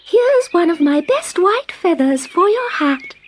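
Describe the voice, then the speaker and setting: A woman voicing a sweet, generous little skylark in a storybook, with a singsong, bright-spirited manner. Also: very high voice, very animated, a little slow, background noise, voice pushed up, full character voice. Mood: sweet, giving.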